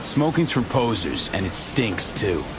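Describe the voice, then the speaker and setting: An actor playing a gruff, terse mercenary in a video game, steady and matter-of-fact. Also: male voice, lowish voice, measured pace, background noise. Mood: dry, dismissive. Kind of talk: naturalistic video game acting.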